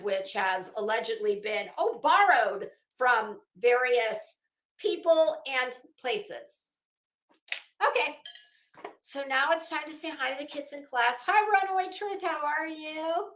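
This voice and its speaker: A woman's voice: mid-range voice, gravelly, sharp tone. A woman hosting a livestream in casual chat and commentary, with a blunt, sarcastic, salty and emphatic way of talking. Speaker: livestream host. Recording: roomy, echoing home recording.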